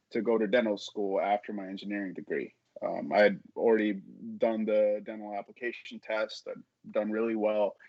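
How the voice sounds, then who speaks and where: A young man talking candidly as a podcast guest, halting as he searches for his words. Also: fairly low pitch, darkish tone, no echo. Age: young adult. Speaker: podcast guest, audio drama creator. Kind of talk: candid casual conversation. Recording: dull, dry lo-fi sound.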